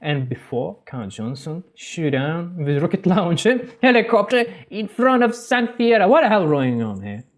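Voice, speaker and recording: A man recaps a game's story in halting, non-native English and keeps wandering off into joking asides. His voice sits a little high for a man and jumps around a lot as he talks. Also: big pitch swings. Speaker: gaming channel host. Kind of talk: game story recap with commentary.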